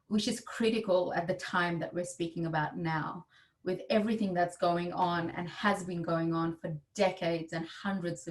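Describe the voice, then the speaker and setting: A woman presents at a summit, heard at some distance from the microphone. Her voice sits on the low side. She explains in a measured, emphatic way, checking in with her listeners. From India.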